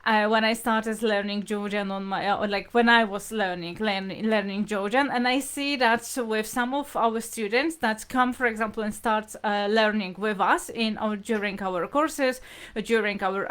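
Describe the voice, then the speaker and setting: A woman speaks as a language teacher on a live stream. Her voice sits fairly high. She explains informally to her viewers, often circling back and repeating her phrasing as she searches for words. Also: moderate pace, fairly bright tone, clean tone. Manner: hesitant, repetitive, explanatory phrasing. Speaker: language teacher hosting livestream lesson.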